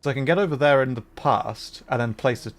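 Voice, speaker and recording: A man's voice: medium pitch, a little fast. A man streaming a game with casual commentary, talking to himself as he works things out. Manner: muttering to himself while working through puzzles. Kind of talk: casual livestream gameplay commentary, thinking aloud.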